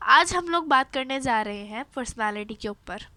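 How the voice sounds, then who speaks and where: High, hoarse-voiced young woman host. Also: expressive, moderate pace, bright, forceful tone, dry recording. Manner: chatty, repeats herself, restarts phrases. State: hoarse from a cold. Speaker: self-help video host. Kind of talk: casual advice talk to camera.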